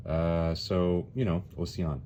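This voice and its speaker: Deep-voiced man reviewing comics casually. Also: flat delivery, measured pace, dark tone, slight background noise. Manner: wry, hesitant, repeats words.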